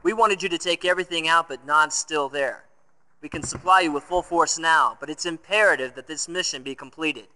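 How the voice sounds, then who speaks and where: An actor in his thirties playing a brisk army field officer giving clipped, commanding orders in a video game briefing. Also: on the high side, sharp tone, low-quality recording. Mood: urgent, commanding.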